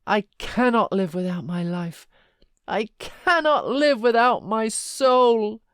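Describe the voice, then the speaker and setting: A man's voice: sits high, slow, fairly bright tone, clean tone, dry recording, clean studio sound, pitched above the performer's own voice. A woman voicing a vehement, grief-stricken man in a dramatic audiobook reading, with impassioned outcries. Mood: anguished despair. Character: anguished, vehement man raging in grief and passion.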